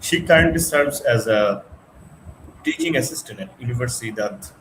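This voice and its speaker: A Bangladeshi man with a Bangladeshi accent, hosting an online interview, talking slowly with many uh fillers. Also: medium pitch, expressive, fairly bright tone, a little hiss or hum, rough recording. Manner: slow, with frequent uh fillers. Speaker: webinar host.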